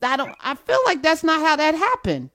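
A woman's voice: sits high, wildly swooping pitch, moderate pace, pushed and bright, dry recording, clean studio sound. Teasing woman radio host. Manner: quizzical, teasing, pokes holes in callers' stories. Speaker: radio call-in show host. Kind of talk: casual talk radio banter.

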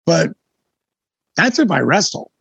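Husky high-voiced older man, blunt. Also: very animated, slow pace, a bit bright, no echo. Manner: blunt, profane, emphatic. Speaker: guest. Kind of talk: casual storytelling in an interview.

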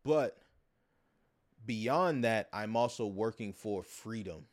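A man with a fairly low voice talks casually as a podcast host. He is long-winded, often repeating a word or phrase as he works his way toward a point. The delivery is conversational and unscripted. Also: animated, takes it slowly. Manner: long-winded, repeats and circles back on words.

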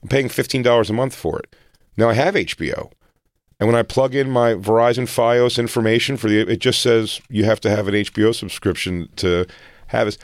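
A man's voice: medium pitch, brisk, no room sound, clean studio sound. A man co-hosting a podcast, rambling wryly through stories and laughing at his own jokes. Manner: rambling, wry, trails off and laughs. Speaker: podcast co-host. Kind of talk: casual comedic chat.

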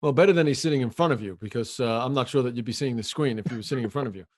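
A man hosting a podcast, eagerly firing quick questions back and forth with his guest. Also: medium pitch. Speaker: podcast host. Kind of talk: podcast conversation.

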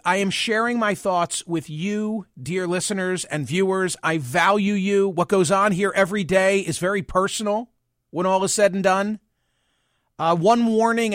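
Man, 60s, host; measured, wry monologue, high-pitched and dry. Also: dry recording. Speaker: podcast and radio host. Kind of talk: reflective personal monologue.

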